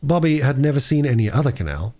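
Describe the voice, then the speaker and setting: A man's voice: medium pitch, sing-song, fast talker, dark tone. A man reading a storybook aloud as a narrator. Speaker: audiobook reader.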